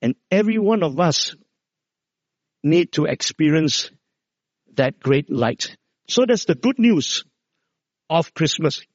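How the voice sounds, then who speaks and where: A middle-aged preacher with a loud, high-pitched voice delivers a Christmas sermon. He tells stories and drops low to stress key words. The recording is dry and somewhat muffled. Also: lively intonation, takes it slowly, long pauses, dry recording. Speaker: pastor. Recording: dull, dry lo-fi sound.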